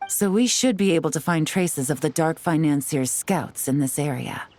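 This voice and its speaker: A woman's voice: low-pitched, moderate pace, a little mellow, slight background noise, close to an everyday voice. A woman playing a cool, shrewd, confident intelligence agent in a video game, speaking in an assured, instructive way.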